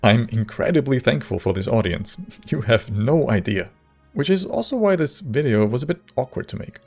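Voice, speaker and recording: A man giving scripted video narration in a measured way with dry, wry humour. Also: medium pitch, lively intonation, a little mellow. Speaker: video creator and modder. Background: faint music.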